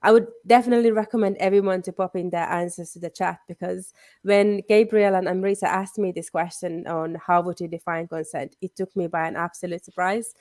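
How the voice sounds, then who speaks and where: A woman's voice: lowish voice, steady tone, a little fast, a little mellow, no echo. Nasal woman with a cold on a panel. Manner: conversational, self-deprecating, thinking aloud. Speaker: panelist, legal historian. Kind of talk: panel discussion.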